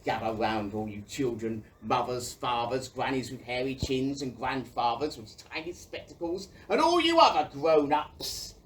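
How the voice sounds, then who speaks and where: Low, swooping bumbling village crier played by a woman. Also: animated, moderate pace, few pauses, recorded from a distance, a little hiss or hum. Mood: proclaiming. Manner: declamatory, bumbling. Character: bumbling, blunt village crier rallying the crowd. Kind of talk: community theatre audio play acting.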